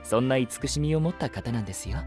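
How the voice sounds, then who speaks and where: A male actor as a gracious knight in an anime audio drama, hosting his guests with formal courtesy. The delivery is expressive and lilting, in a mid-pitched voice over clear background music. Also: quick pace, close to an everyday voice. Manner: courteous, formal polite speech.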